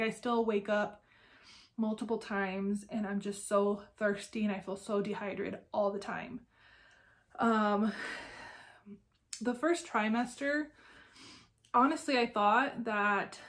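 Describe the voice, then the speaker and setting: Congested, chatty young woman. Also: on the high side. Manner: chatty, many "like" fillers. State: congested, nasal; slightly out of breath. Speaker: crafting podcast host. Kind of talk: casual personal vlog chat.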